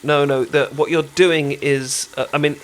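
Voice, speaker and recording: A British man playing a caring, chatty best friend in an intimate ASMR roleplay, talking casually with halting, stammering explanations. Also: fairly high pitch, steady tone, natural voice. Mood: gentle, reassuring. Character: caring, chatty male best friend teaching sushi making.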